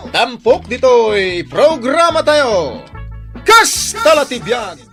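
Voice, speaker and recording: A man's voice: very high-pitched, very animated, slow, a bit bright, soft background music. A man presenting a radio drama with a scripted, declamatory introduction.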